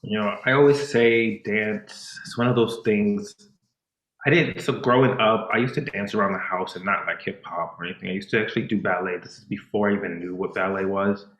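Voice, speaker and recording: Deep-voiced man in an echoey room. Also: steady tone, brisk, a bit bright. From Dominican. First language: Spanish. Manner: reflective, many fillers and restarts. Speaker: dancer and choreographer, podcast guest. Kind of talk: casual interview chat.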